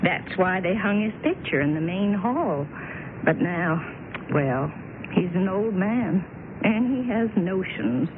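An actress playing a knowing, plain-spoken housekeeper in a radio drama, dry and homely as she gently cautions. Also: lowish voice, animated, takes it slowly. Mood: wistful, cautioning. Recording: tinny, noisy old radio broadcast.

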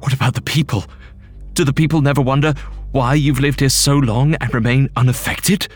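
A man voicing an earnest, shaken male doctor in a horror story, with a halting manner. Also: mid-range voice, fairly even intonation, faint music behind, pitched above the performer's own voice, lightly acted. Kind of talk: acted audio horror story.

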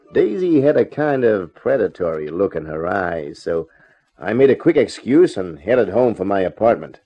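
An actor playing a wry, hard-boiled private detective in a 1950s radio drama, husky and slangy. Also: male voice, lowish voice, warm tone. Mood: sheepish, evasive. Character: wisecracking, hard-boiled male private detective, weary of romance. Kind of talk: 1950s radio detective drama acting and first-person narration. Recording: dull vintage radio drama recording.

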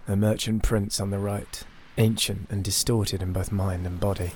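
A man's voice: lowish voice, level tone, normal speed, a little mellow, a little hiss or hum, lightly acted. An actor playing a cunning, disgraced young noble, narrating his own fantasy tale with sardonic, literary bitterness. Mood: wry, brooding. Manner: theatrical, literary, sardonic storytelling. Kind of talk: first-person narration in a high fantasy audio drama.

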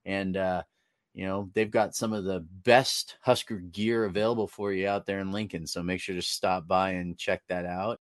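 A man's voice: deep voice, level tone, moderate pace, no echo, clean studio sound. An American man delivering an informal sponsor read for a podcast, in a conversational style. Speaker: podcast host reading sponsor promo.

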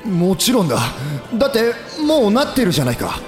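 An actor playing a defeated, weary middle-aged commander who speaks quietly and with resignation. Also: male voice, high-pitched, wildly swooping pitch, quick pace, faint music behind. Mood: weary, resigned. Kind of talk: audio drama acting.

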